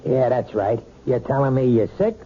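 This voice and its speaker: An American man playing a surly, domineering, self-pitying husband in a 1970s radio drama, gruff and sarcastic. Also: medium pitch, moderate pace, warm tone, natural voice. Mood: bitter, bullying. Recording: muffled, noisy old radio broadcast.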